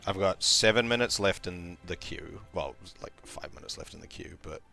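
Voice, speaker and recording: A male streamer with a croaky, tired voice, casually thinking aloud and rambling while playing a game. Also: sits low, moderate pace, sharp tone, soft background music. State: tired voice from talking all day. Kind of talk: casual gaming livestream commentary.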